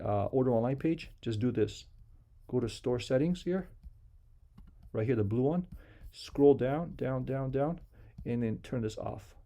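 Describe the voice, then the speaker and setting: A man's voice: medium pitch, gravelly, dark tone. A man giving a casual, unscripted screen-share tutorial in simple, repetitive step-by-step instructions. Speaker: software tutorial presenter.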